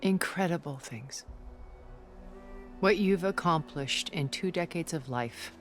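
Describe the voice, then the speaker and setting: Casting: a poised, elegant immortal woman in a story-driven game, low register, measured delivery. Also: faint music behind. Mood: earnest, admiring. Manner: measured, elegant, genteel phrasing. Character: refined, immortal older woman, poised and persuasive. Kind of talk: cinematic video game acting.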